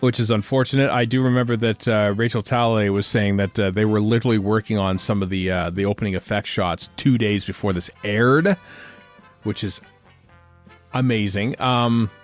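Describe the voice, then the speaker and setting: Middle-aged male podcast host, slightly low voice. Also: fairly even intonation, normal speed, soft background music. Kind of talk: casual fan podcast chat.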